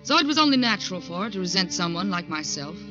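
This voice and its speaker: A woman's voice: on the low side, sing-song. An actress with an American accent playing a confident, wary woman art director in a 1940s radio suspense drama. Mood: confident, reflective. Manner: brisk, direct, challenging. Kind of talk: 1940s radio suspense acting and first-person narration. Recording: dull old radio recording, music underneath.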